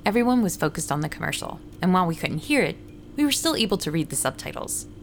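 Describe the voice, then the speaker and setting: A woman's voice: fairly low pitch, big pitch swings, fast, vocal fry, a little hiss or hum. A woman playing a friendly, ordinary runner and ad writer, narrating her story in a scripted fiction podcast. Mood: narrating, calm. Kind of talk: first-person scripted audio drama narration with dialogue.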